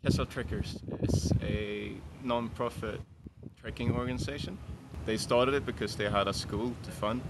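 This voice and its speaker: A man's voice: medium pitch, steady tone, moderate pace, few pauses. A man from Sweden with a Swedish accent, talking casually in an interview as a volunteer. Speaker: trekking nonprofit volunteer. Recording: loud outdoor traffic noise.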